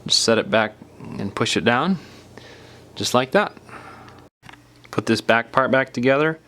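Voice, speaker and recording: A man's voice: mid-range voice, animated, unhurried, fairly bright tone. A man narrating a hands-on how-to in an unscripted, casual step-by-step way, sometimes leaving phrases unfinished. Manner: casual step-by-step explaining, trailing off mid-phrase. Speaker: repair tutorial presenter.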